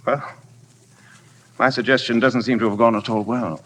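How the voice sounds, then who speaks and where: An actor playing a brusque, wry, wealthy gentleman in a vintage radio drama, clipped and commanding. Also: mid-range voice, steady tone, moderate pace, slight background noise, close to an everyday voice. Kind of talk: 1950s radio drama acting. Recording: vintage radio recording with background music.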